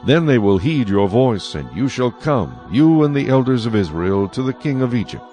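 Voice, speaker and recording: An actor playing a solemn, commanding God in a dramatized scripture reading, with measured, authoritative delivery. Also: male voice, medium pitch, sing-song, dark tone, close to an everyday voice. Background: faint music.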